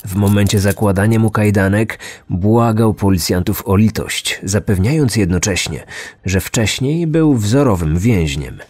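A man narrates a true crime podcast in a lowish voice. He reads scripted documentary narration, and faint music plays behind him. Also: unhurried, darkish tone, breathy edge, dry recording.

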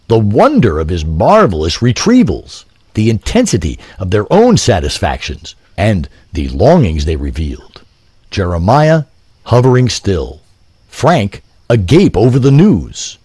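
A man's voice: fairly low pitch, darkish tone, slightly rough, dry recording. A man narrating an audio drama in a grandiose, literary, theatrical style. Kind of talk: theatrical scripted story narration.

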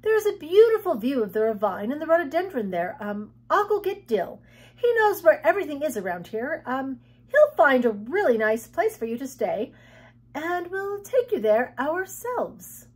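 A woman's voice: high-pitched, big pitch swings, moderate pace, darkish tone, pitched above the performer's own voice. A woman voicing an anxious, earnest young girl with an urgent, pleading way of talking. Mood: flustered.

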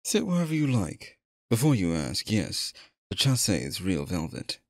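A man playing a sinister, flattering stage magician in an ASMR roleplay, speaking in a flowery, genteel, theatrical manner. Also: deep voice, moderate pace, exaggerated voice. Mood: suave, hospitable.

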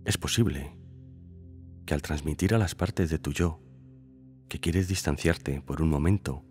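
Very deep-voiced man guiding meditation. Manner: calm, unhurried phrasing with long pauses. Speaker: meditation guide. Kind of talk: slow scripted guided meditation. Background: background music.

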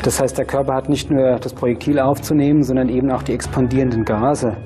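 A man's voice: medium pitch, little pitch movement, quick pace. Male expert explaining, with music behind. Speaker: forensic expert interviewee. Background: background music.

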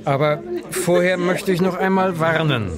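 A man's voice: high-pitched, normal speed, a crowd in the background, lightly acted. A man playing a kindly, careful scientist professor in a children's audio drama. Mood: cautioning. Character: kindly, cautioning male scientist professor with playful humour.

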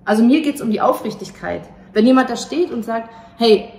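A woman's voice: a little high, expressive, fairly quick, a little mellow. Earnest woman in an echoey room. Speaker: playwright and actor, interview guest. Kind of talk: casual interview talk. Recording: echoey room, faint ambient noise.

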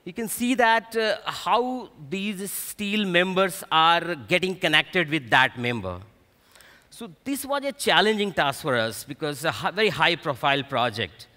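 A middle-aged man with an Indian accent explains technical material from a conference stage. His voice is fairly high and bright, with a halting, explanatory delivery. It rings through a large hall with long reverb. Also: very animated. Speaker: technical director presenting at a conference. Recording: echoey large hall PA sound.

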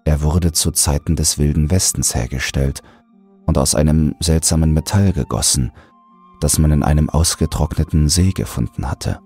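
A man narrating a scripted horror story in a measured, deliberate, suspenseful manner. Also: rumbling low, breathy edge. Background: soft music.

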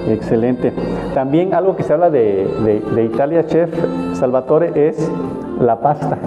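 A man's voice: medium pitch, animated, a little slow. A middle-aged man hosting a casual interview, asking friendly questions and answering with short approvals. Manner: friendly prompting questions, repeats "excelente". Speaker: interviewer, TV host. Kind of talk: local TV interview. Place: small restaurant dining room, indoors. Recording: echoey room, dull sound, background music.

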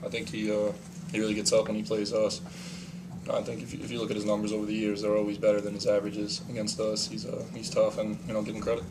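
A young man, a college basketball player, giving relaxed postgame answers and leaning on "you know". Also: on the low side, quick pace. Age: young adult. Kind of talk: postgame press conference answers. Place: small press room. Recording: mic at a distance, noisy room.

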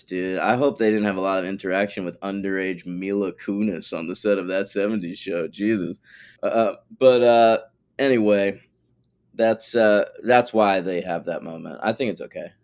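A man's voice: lowish voice, moderate pace. A man co-hosting a podcast, talking bluntly and swearing freely in a casual, teasing recap chat. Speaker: podcast co-host.

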